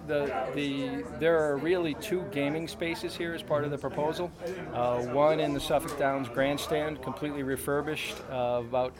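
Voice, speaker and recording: A man speaking as a company spokesman at a press conference, in halting, hesitant answers. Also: fairly high pitch, steady tone, crowd noise. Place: indoor press conference in clubhouse room. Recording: noisy location recording, room ambience.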